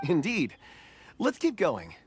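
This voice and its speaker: A man playing a gentle, thoughtful young stone-reader in a video game, with a mild and hesitant manner. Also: high voice, huge pitch swings, takes it slowly, slight background noise, exaggerated voice. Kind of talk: video game character acting. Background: game background music.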